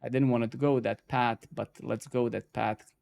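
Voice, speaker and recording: Swearing, exasperated live-coding streamer. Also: male voice, medium pitch, fairly even intonation, normal speed, creaky, darkish tone. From Serbia. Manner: frustrated, swears freely, many filler "right?" and "man". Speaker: stream host.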